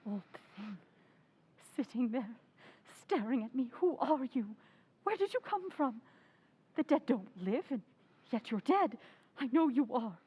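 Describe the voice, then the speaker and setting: Frightened wife, radio horror, female actor: very high, wildly varied pitch, halting, desperate. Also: big pitch swings, warm tone, slightly rough, lo-fi sound, full character voice. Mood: frightened, dazed. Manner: halting, breathless repetition, highly emotional. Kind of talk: old-time radio horror acting.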